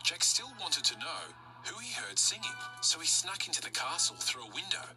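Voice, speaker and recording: Animated woman reading a children's story. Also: on the low side, moderate pace, over music. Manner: animated, expressive narration.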